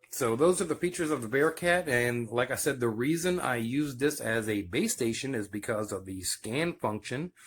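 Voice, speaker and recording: A man giving a casual, hands-on demonstration as the host of a home video. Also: mid-range voice. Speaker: host demonstrating CB radio gear. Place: at home by a radio base station. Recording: clear background noise, clicks.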